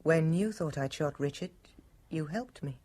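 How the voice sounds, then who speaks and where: An actress in a radio drama playing a troubled but firm, guilt-ridden lady of the house, with a husky voice. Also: deep voice, a little slow, dark tone, a little hiss or hum. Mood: wistful.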